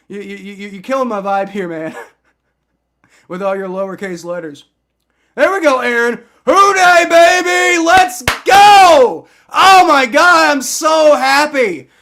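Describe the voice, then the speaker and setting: A young man calls out his team's win on a fan livestream, yelling and swearing with raw excitement. His voice sits very high and sounds bright and strained. He has shouted himself partly hoarse. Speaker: sports fan livestream host. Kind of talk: excited live fan reaction.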